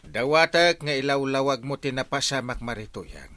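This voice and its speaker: An actor with an Ilocano accent playing a flustered, stammering village captain in a radio comedy drama. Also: male voice, medium pitch, normal speed, pushed and bright, slight background noise, close to an everyday voice. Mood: questioning, exasperated. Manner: stammering, whiny, exclaiming. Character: flustered, excitable village captain, comic middle-aged man.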